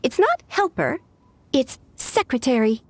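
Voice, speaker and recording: High-voiced, formal young secretary. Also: female voice, big pitch swings, unhurried, slight background noise, heavily acted. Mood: knowing, correcting. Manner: formal, precise, businesslike. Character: poised young female official secretary, formal and exacting. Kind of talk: anime game dialogue.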